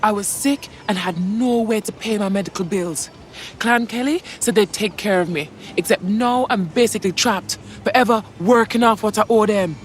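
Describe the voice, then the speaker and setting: A woman's voice: medium pitch, normal speed, a little hiss or hum, lightly acted. An actress playing a desperate, trapped female debtor pleading for help in a video game. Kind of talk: video game cutscene acting.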